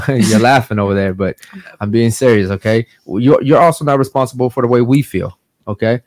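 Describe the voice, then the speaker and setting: A man's voice: mid-range voice, moderate pace, a little mellow, dry recording. A man hosting a podcast in a brash, profane, casual way, tacking "man" onto his sentences. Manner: brash, profane, drops "man" and "guys" often. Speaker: podcast host.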